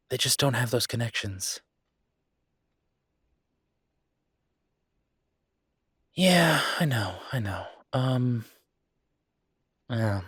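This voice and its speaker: A male actor as an exasperated but affectionate boyfriend who runs a restaurant, in an intimate audio roleplay. His voice is husky and mid-pitched, recorded very dry. He talks in a conversational, halting way, as if to his partner. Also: no echo. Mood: frustrated, reluctant.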